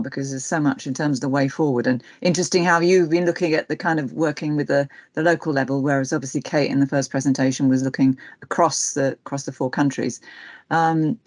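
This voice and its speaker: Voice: mid-range voice, no echo. A webinar chair on a video call, thanking speakers and introducing the next one. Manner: thanks speakers and hands over, friendly.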